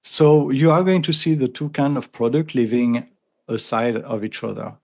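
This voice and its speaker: A man's voice: mid-range voice, fairly even intonation, normal speed, gravelly. A man in his fifties from France with a thick French accent, a podcast guest talking earnestly and thoughtfully. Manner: earnest, thoughtful, frequent restarts. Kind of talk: casual conversation.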